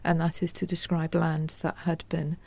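Measured woman historian, low creaky voice. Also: completely flat delivery, mellow. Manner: measured, scholarly. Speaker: guest historian. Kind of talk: explanatory interview talk.

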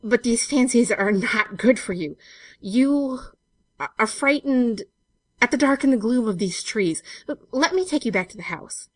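A woman voices a strong, brooding gentleman in a storybook. He speaks earnestly and intensely, protective and tender with a bitter edge. The sound is close and dry. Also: medium pitch, animated, brisk, no room sound, far from the mic, pitched above the performer's own voice. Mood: anxious, gentle. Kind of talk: dramatised storybook reading.

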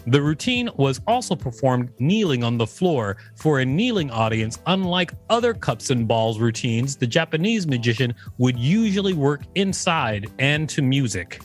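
A man's voice: medium pitch, big pitch swings, moderate pace, few pauses, soft background music. A male podcast co-host reading an encyclopedia article aloud, with an amused, casual manner.

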